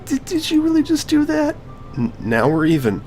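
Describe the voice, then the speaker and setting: A man voicing a shy, flustered, soft-spoken teenage girl who stammers apologetically, as in a video game. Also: very high voice, huge pitch swings, normal speed, faint music behind, voice pushed up, full character voice. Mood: startled, shy. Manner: stammering, hesitant.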